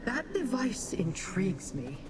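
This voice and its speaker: An actress playing a haughty, calculating Daedra who speaks down to mortals. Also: female voice, mid-range voice, big pitch swings, measured pace. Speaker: game character, scheming ally. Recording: game audio with background noise.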